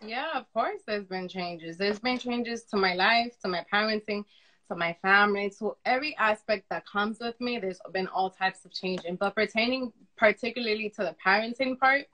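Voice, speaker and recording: A woman speaks as a guest in a casual, personal conversation. Her voice is loud, bright and forceful, at a middle pitch. She is frank and emphatic, often repeating phrases to drive a point home. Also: normal speed, low-quality recording. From Dominican upbringing. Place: remote live video chat.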